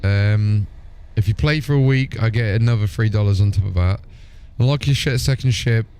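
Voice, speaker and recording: A man's voice: on the low side, normal speed, dark tone, clean tone. A man chatting casually and informally as a live game streamer, in a matey way with the odd mild swear. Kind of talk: casual gaming livestream chat.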